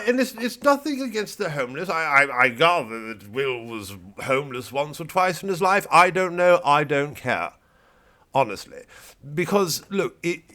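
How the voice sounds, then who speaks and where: A man's voice: on the high side, animated, moderate pace, fairly bright tone, pitched above the performer's own voice. A man voicing a pompous boy who calls himself a seventeen-year-old old man, with fussy, stammering, prim disapproval. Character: pompous self-declared 17-year-old male fogey, prissy. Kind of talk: satirical comedy monologue.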